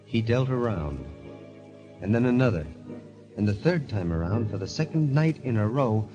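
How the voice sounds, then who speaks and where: An actor playing a bitter, haunted young gambler turned murderer, grave and intense, in old-time radio drama style. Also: male voice, medium pitch, animated, normal speed, lightly acted. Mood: grim, tense. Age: young adult. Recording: muffled vintage radio recording, music behind.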